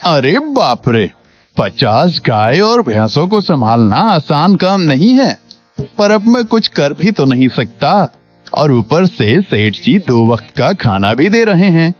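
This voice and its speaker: Cartoon story role: poor, earnest male laborer played by a man, deferential and animated, slightly dull recording. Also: on the high side, takes it slowly, faint music behind, full character voice. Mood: worried. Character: humble, hardworking poor village laborer man. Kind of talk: Hindi animated moral story dialogue.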